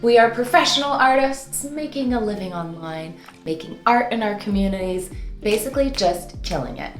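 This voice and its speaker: A woman's voice: fairly high pitch, echoey room. A woman hosting an upbeat instructional vlog, talking informally and playfully with theatrical, sing-song flourishes. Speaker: host and voice-over coach.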